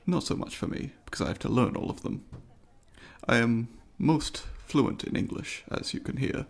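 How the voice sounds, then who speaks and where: A man's voice: mid-range voice, lively intonation, normal speed, creaky. A man voicing a polite, eager alien student in an ASMR roleplay, speaking formally and precisely to the listener in an inquisitive, apologetic way. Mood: polite, curious.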